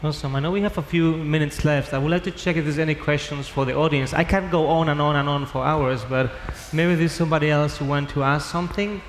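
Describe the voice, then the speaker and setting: Male panel moderator, slightly higher voice, casual and joking, echoey hall. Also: steady tone, fast talker, few pauses. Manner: informal, joking with the audience. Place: large conference hall. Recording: echoey hall with faint noise.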